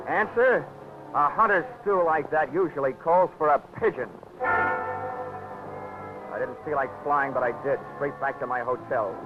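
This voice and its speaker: A man's voice: medium pitch, close to an everyday voice. A man playing a wisecracking, hard-boiled insurance investigator who narrates a 1940s radio drama in a dry, sardonic way. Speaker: narrator and lead. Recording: tinny old radio broadcast with music score.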